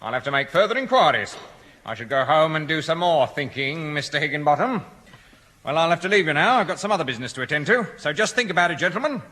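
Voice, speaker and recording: An actor playing an officious but patient police sergeant in an old radio sitcom, speaking formally. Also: male voice, fairly high pitch, lively intonation, fast talker, pushed and bright. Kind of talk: 1970 radio sitcom acting. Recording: reverberant old radio broadcast.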